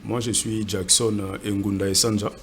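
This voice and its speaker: A man's voice: on the low side, little pitch movement, normal speed, a little hiss or hum. A man from Congo, a guest entrepreneur, answering earnestly in a TV studio interview and often repeating words as he searches for them.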